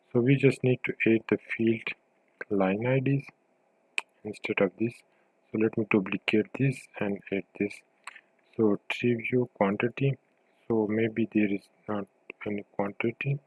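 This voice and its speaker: A man narrating an informal software tutorial, thinking aloud with a hesitant delivery as he demonstrates. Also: fairly low pitch, breathy edge, no room sound, low-quality recording.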